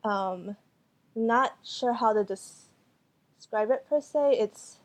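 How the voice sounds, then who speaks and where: Slow, hesitant woman artist. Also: fairly high pitch. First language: Cantonese. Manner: hesitant, many fillers and restarts. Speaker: artist and photographer. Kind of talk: interview answers.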